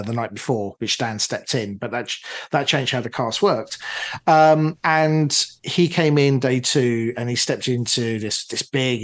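A man who makes audio drama, answering interview questions in an animated, chatty way with many fillers and restarts. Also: medium pitch. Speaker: audio drama producer and director.

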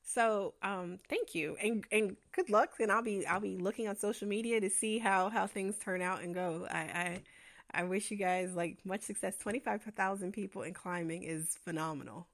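A woman's voice: medium pitch, moderate pace. A woman interviewing a guest in a casual, enthusiastic way, with many fillers and restarts. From lives in Atlanta.

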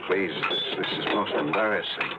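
A man's voice: sits low, a little fast, natural voice. An actor playing a polite, formal male archaeologist with a courteous, slightly flustered manner in an old radio drama. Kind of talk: 1950s radio drama acting. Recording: muffled old radio broadcast with music.

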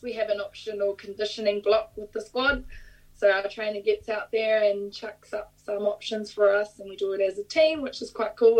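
A young New Zealand woman talks in a relaxed, upbeat way, laughing easily. Her voice sits in a middle register and sounds a little distant from the mic. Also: medium pitch. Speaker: rugby player guest. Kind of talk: remote interview.

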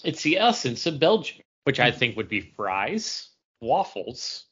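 A wry male podcast co-host chats casually in a bright, forceful voice of medium pitch. He has a joking way of talking. The recording sounds somewhat dull and lo-fi. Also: very animated, unhurried, husky. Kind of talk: casual chat. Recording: dull lo-fi audio.

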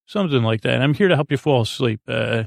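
A man hosting a sleep podcast in a soothing, meandering monologue, with a creaky voice, halting false starts and drawn-out pauses. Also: medium pitch, expressive, no room sound, studio quality. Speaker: podcast host. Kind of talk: rambling, soothing bedtime monologue.

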